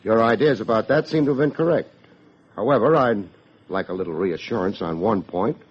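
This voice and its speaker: An American actor playing a wry, hard-boiled private detective in an old radio drama, with dry, needling delivery. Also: male voice, on the low side, brisk, slightly rough. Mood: sardonic. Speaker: private investigator and narrator. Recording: dull vintage radio recording.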